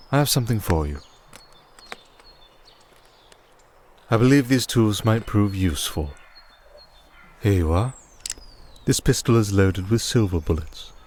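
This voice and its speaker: A man playing a cold vampire archduke and crime lord in an ASMR roleplay, speaking in a measured, formal, condescending way. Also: fairly low pitch, darkish tone, slight background noise, close to an everyday voice. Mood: composed, instructive. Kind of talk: ASMR roleplay audio drama.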